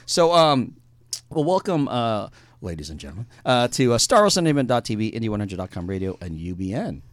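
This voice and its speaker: A man hosting an internet radio interview, chatty and joking, with many halting fillers. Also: on the low side, very animated, no echo. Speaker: podcast host. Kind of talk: casual interview chat.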